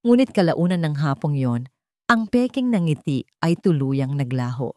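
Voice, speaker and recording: A woman's voice: deep voice, sing-song, normal speed, darkish tone, no echo, clean studio sound. A woman reading a scripted dramatic family story as its narrator. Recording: music behind the voice.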